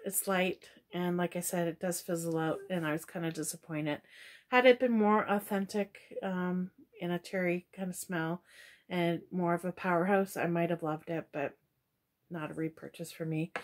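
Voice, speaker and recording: A middle-aged woman talks casually to her webcam in a small, dim room at home. Her chat is relaxed and rambling, with a tired, slowing-down feel as she gives her opinions one after another. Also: fairly low pitch. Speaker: product reviewer. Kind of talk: casual product review chat.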